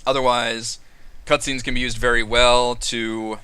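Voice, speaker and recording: A man's voice: mid-range voice, little pitch movement, a little slow, sharp tone, clear, resonant tone, no echo. A man hosting a casual roundtable discussion, explaining things in an informal way with frequent asides. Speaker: host of a tabletop RPG discussion.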